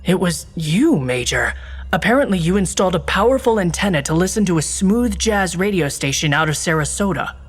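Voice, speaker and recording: A woman's voice: fairly low pitch, animated, brisk, breathy edge. An actress playing a serious, skeptical female secret agent with a dry, businesslike manner in a comedic video game.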